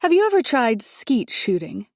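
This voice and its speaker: A woman's voice: fairly high pitch, very animated, moderate pace, dry recording, clean studio sound, pitched above the performer's own voice. A woman voicing a wealthy older mother-in-law who talks in a sugary, condescending way, calling everyone dear and darling. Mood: sweetly condescending.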